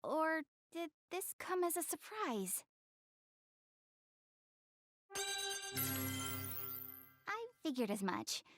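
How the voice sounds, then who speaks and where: A woman playing a proud, self-conscious young goddess girl in an anime-style game, expressive, easily flustered and earnest. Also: sits high, a little slow, dry recording, studio quality, faint music behind, exaggerated voice. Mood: sheepish, confiding.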